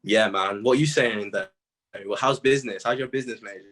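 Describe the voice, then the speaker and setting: A man's voice: lowish voice, fairly quick, a bit bright. A young man chatting casually in an interview, using informal, slangy speech as a music artist. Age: young adult. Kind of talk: casual chat.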